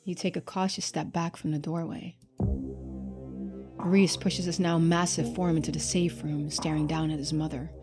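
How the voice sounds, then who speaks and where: A woman's voice: sits low, little pitch movement, normal speed. Casual woman streamer narrating over music. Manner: casual, chatty. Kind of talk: game commentary and expressive narration. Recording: music behind the voice.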